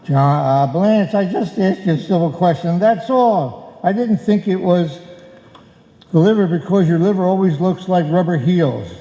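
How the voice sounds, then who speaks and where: A man acts as a weary husband in a bickering radio comedy. He grumbles and complains with exasperation in a fairly high, softened voice. The live stage recording is very echoey. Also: normal speed. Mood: grumbling. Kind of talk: old-time radio comedy acting, performed live. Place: indoor stage before a live audience.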